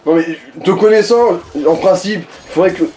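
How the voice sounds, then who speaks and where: A man's voice: high-pitched, normal speed, warm tone, low-quality recording. A man chatting casually about a video game as co-commentator, blunt and teasing with his friend. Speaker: co-commentator watching a friend play. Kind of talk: casual gaming chat.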